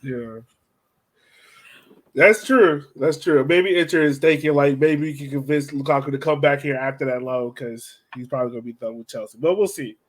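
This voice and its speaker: A man's voice: mid-range voice, normal speed. A man hosting a casual soccer podcast, chatting conversationally and putting questions to a co-speaker. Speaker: podcast host. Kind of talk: casual chat, soccer discussion.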